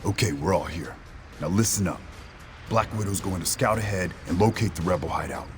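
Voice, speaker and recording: Deep-voiced commanding squad leader played by a man. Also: fairly quick, in a large hall, lightly acted. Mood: commanding, urgent. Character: commanding male field leader of a strike team. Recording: very loud game music behind.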